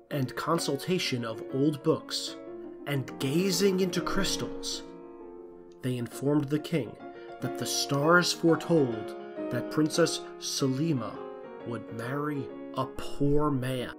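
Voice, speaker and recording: Man, narrator, scripted fairy tale storytelling, faint music behind. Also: a little high.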